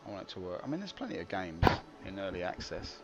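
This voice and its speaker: A man's voice: deep voice, big pitch swings, fast, creaky, dull audio. A man streaming live gameplay, grumbling wryly and poking fun at himself as he plays. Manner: grumbling, wry and self-mocking. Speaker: game streamer. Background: game music.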